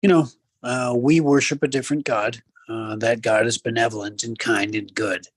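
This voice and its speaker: A man with a medium-pitched voice gives commentary as a radio co-host. He is measured and conversational, slipping into preacherly asides to his listeners. The recording is close and dry. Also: steady tone, dry recording. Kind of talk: casual talk show commentary.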